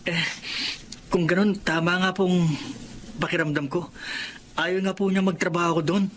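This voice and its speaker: Earnest young Filipino son. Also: male voice, high voice, steady tone, moderate pace, fairly bright tone, a little hiss or hum. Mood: puzzled, suspicious. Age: young adult. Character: earnest young adult son, eager and respectful. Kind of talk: Filipino radio drama acting.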